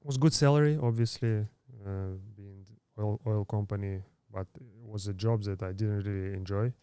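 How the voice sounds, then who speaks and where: Low mellow-voiced man in his thirties. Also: fairly even intonation, smooth, no room sound. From Kazakhstan. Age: 30s. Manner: halting, many fillers and restarts. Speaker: podcast guest. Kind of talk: casual interview storytelling.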